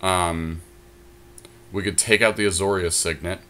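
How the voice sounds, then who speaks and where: Low-voiced man thinking aloud. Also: fairly even intonation, unhurried, bright, forceful tone. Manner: thinking aloud, many ums and likes. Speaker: podcast co-host. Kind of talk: casual chat about deckbuilding.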